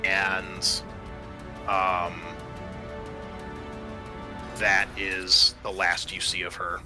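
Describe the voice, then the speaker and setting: A man's voice: low-pitched, monotone, very slow. A man acting as game master, narrating a horror tabletop roleplaying game in an improvised way, mixing storytelling with rules talk and dry asides. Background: background music.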